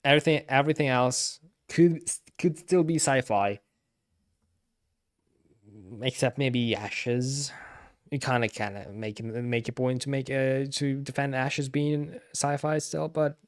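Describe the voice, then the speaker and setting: Clear-voiced male streamer, hesitant delivery. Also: mid-range voice, fairly even intonation, unhurried, no room sound, clean studio sound. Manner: hesitant, repetitive, stammering restarts. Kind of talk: casual gaming commentary.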